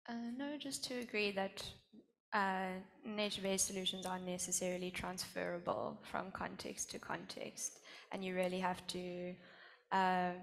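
Woman on a video call w headset, low flat gravelly voice, calm. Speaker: panelist. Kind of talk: remote panel reply.

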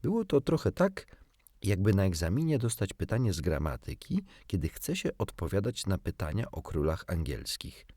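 A man reading a children's storybook as an audiobook narrator, in an expressive scripted style. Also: sits low, normal speed, no echo.

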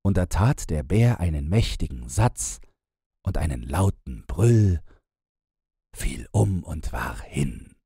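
A man reads a bedtime fairy tale as an audiobook narrator. His voice is very low and husky, and he takes his time while moving through lively rises and falls. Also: slow, dry recording, clean studio sound.

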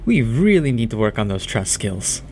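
A man's voice: fairly low pitch, big pitch swings, moderate pace, darkish tone, slight background noise. An actor playing a smug, taunting monster disguised as a man, mocking and falsely chummy in a horror audio drama. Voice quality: unnatural, off-sounding.

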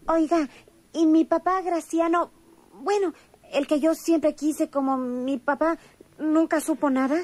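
A woman's voice: sits high, fairly even intonation, unhurried, darkish tone. An actress in a radio soap playing a naive, sweet young country woman with rustic, unschooled speech. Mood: curious. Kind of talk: radionovela acting.

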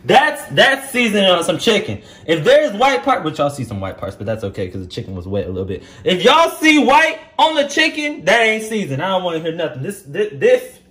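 Sassy high-voiced young Southern man. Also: brisk, hardly any pauses. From Louisiana, United States. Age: 20s. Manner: playful, sassy, animated, slangy. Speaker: host of a home cooking vlog. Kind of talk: casual cooking demonstration, chatty to viewers. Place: indoors in a home kitchen. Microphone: camera a step or two away. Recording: echoey kitchen, some background noise.

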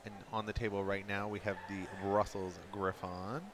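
A very deep-voiced man calls a live dog show, relaxed and quick to poke fun at himself. Steady crowd noise runs under his broadcast commentary. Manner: relaxed, self-deprecating joking.

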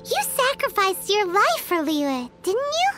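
Game fairy sidekick: extremely high, squeaky, childlike, excitable and whiny, unhurried delivery. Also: female voice, over loud music, heavily acted. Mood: earnest concern. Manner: speaks of self in third person. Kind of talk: video game voice acting.

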